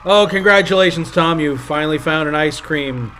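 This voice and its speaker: A man's voice: a little high, normal speed, pushed and bright, faint music behind, low-quality recording. A man hosting a live improv comedy stream, plugging the channel. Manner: upbeat promo plug. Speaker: improv show host. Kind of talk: live stream host outro.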